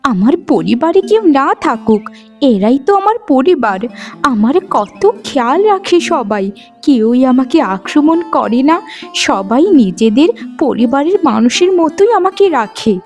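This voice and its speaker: A woman playing a kind young forest maiden in a cartoon fairy tale, with a tender, expressive way of talking. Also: high-pitched, a little slow, faint music behind, heavily acted. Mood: tender, happy.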